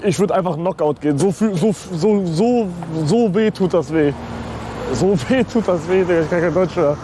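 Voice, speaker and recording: High-voiced excitable young streamer man. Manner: slangy, excitable, swears a lot. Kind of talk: live outdoor stream chat. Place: outdoors on city streets. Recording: outdoor street noise with traffic.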